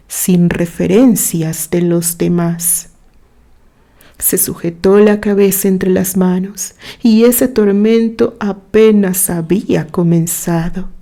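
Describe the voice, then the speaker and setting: A woman narrates a literary story at a slow, measured pace. Her voice is soft-edged and mellow, and she reads with expressive sentiment. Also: medium pitch.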